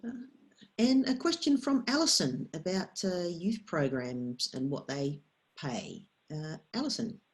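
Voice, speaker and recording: A woman's voice: low-pitched, lively intonation, unhurried. A woman moderating a question session in an informal style.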